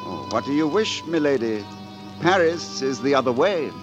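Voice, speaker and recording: A man's voice: mid-range voice, lively intonation, moderate pace, close to an everyday voice. Man acting a florid romantic rogue poet, orchestra behind. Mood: subdued. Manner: florid, courtly, wry. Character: witty, romantic rogue poet facing a king. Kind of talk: 1940s radio acting. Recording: vintage 1940s radio broadcast, orchestral music behind.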